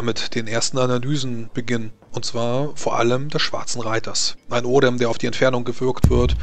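A man narrating a tabletop role-playing game as game master, in a matter-of-fact way. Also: mid-range voice.

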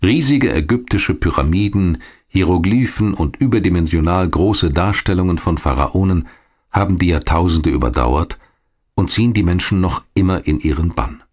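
A man narrating a scripted history documentary in a measured, articulate storytelling manner. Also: deep voice. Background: music.

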